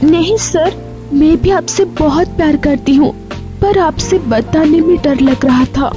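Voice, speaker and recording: A woman voicing a shy, polite young female office worker in an animated story, hesitant and deferential, over music. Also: high voice, unhurried, muffled recording. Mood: coy, affectionate. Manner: hesitant, deferential, stammering at times. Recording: music behind the voice.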